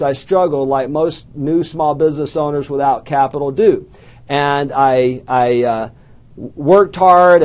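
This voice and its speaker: A man's voice: on the high side, a little mellow. Folksy Southern man giving a motivational talk. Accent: Southern US, Alabama. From southern United States. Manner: folksy storytelling with self-deprecating jokes and questions to the crowd. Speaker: small business coach speaker. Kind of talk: motivational talk to a live audience.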